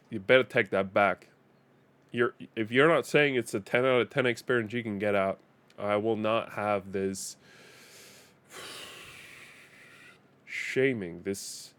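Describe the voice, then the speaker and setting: Danish-accented man with a lowish voice. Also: fairly bright tone. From Denmark. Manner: chatty, laughs often, repeats words as he restarts. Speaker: streamer. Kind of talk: casual live-stream gaming commentary.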